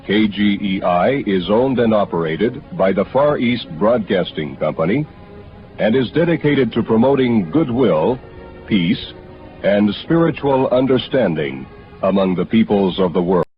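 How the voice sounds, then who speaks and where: A man reading a formal, measured station sign-on in an old shortwave radio broadcast. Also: lowish voice, animated, dull audio, soft background music.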